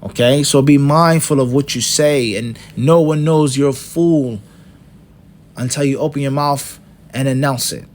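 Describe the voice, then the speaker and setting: A man's voice: mid-range voice, measured pace, darkish tone. A middle-aged man teaching an Islamic lesson, working through points one by one and asking rhetorical questions. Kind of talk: lecture.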